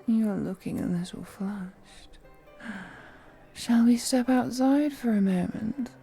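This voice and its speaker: Woman acting as ancient vampire noblewoman, super slow seductive murmur, breathy and half whispered, flirty predator, music behind her. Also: a little high, dark tone. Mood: courteous, coaxing. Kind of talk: intimate audio roleplay acting.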